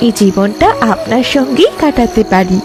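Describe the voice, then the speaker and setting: A woman voicing a gentle young fairy princess in a cartoon tale, speaking in a formal, tender and earnest way. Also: fairly high pitch, expressive, moderate pace. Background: music.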